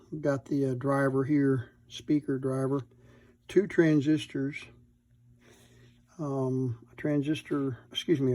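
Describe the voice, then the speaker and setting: A man at an indoor workbench gives an unscripted, hands-on repair demonstration, thinking aloud in a halting way as he works. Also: medium pitch, mellow, dry recording. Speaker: electronics repair hobbyist presenting.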